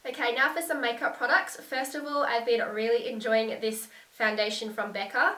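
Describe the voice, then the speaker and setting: A young woman talks through her favourite beauty products with gushing enthusiasm, her voice nasal and stuffed up from a lingering cold. Her tone is bright, and she sits a little back from the mic in an echoey room. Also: on the high side, steady tone, normal speed, recorded from a distance. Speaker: beauty vlogger. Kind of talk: casual product review to camera.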